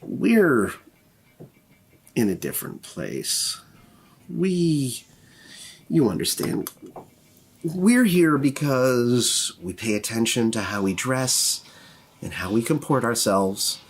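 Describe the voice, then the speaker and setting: A man's voice: medium pitch, very animated, slow, pauses often, slightly rough, exaggerated voice. A man playing a snooty, rich, popular teenage girl in a tabletop game, with a condescending manner. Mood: haughty. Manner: haughty, condescending.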